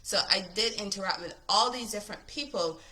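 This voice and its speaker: A woman's voice: mid-range voice, brisk, bright, forceful tone, low-quality recording. A woman from Barbados in her thirties, a business coach, talking to camera in a chatty, upbeat way and often asking "right?". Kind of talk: casual talk to camera.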